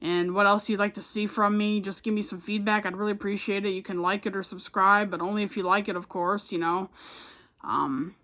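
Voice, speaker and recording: Casting: American woman, mid-pitched, informal vlog chat, rambling and self-effacing. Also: fairly even intonation, fairly quick, a bit bright. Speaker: public address announcer and vlogger. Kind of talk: casual chat to camera.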